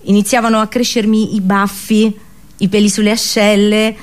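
A woman's voice: medium pitch, little pitch movement, normal speed. A woman performing a comic theatrical monologue for radio, colloquial and sarcastic, full of exaggerated rhetorical questions.

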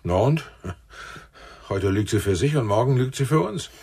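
A man acting a shrewd, persuasive intelligence team leader in a German radio play, speaking deliberately and insistently. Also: medium pitch, animated, gravelly, a little mellow, husky. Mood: blunt, confident.

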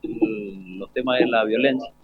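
Husky teenage boy, tinny sound. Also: on the low side, measured pace, no room sound. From Argentina. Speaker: student podcaster being interviewed. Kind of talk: short interview answers. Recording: tinny phone-like broadcast sound.

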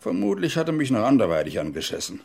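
Bitter, gravelly old major. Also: male voice, mid-range voice, huge pitch swings, a little mellow, heavily acted. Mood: bitter, resigned. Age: older. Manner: halting, agitated, self-reproaching, with outbursts. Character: bitter, stubborn, aggrieved old former East German army major. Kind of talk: German radio crime drama acting, interview monologue.

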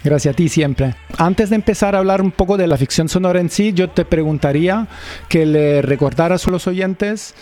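A man's voice: high voice, moderate pace, mellow, faint music behind. A man presenting a radio show and interviewing in a conversational style.

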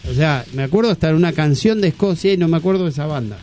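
Man, Argentine accent, fairly high pitch, informal joking co-host, music in background. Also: expressive, very fast. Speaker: radio co-host. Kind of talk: casual joking chat. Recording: loud music under the voices.

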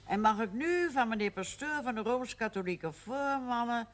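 Feisty old aunt, acted by a woman, high-pitched. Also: lively intonation, moderate pace, slight background noise, natural voice. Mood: playful, teasing. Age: older. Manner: teasing, playfully cheeky. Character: feisty, witty very old aunt, teasing and defiant. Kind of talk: television period drama acting.

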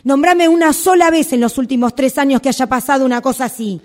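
Woman acting as an indignant argentine worker lady, highish voice, pushy and confrontational, radio play. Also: brisk, a little mellow, close to an everyday voice. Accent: Rioplatense Argentine Spanish. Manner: confrontational, pressing with questions. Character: insistent, indignant woman employee confronting her boss. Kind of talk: amateur radio theatre acting.

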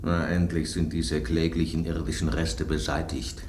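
An actor playing a blunt, sceptical piece of tableware in a German radio drama, sardonic and theatrical. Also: male voice, very deep voice, little pitch movement, moderate pace, darkish tone, a little hiss or hum. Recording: roomy echo on the voice.

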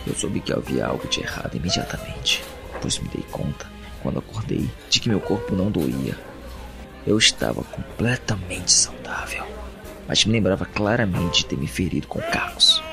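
A man with a Brazilian Portuguese accent playing an anguished captive in an audio drama, narrating his imprisonment in a brooding, deliberate monologue. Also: deep voice, wildly swooping pitch, vocal fry, exaggerated voice. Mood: haunted, subdued. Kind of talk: dramatic first-person monologue. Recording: music bed under the voice.

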